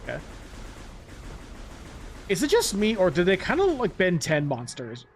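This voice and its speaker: A man's voice: high-pitched, wildly swooping pitch, moderate pace, a little hiss or hum. A man hosting a casual let's-play, chatting and rambling with a co-host while he plays, laughing often. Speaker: gameplay host.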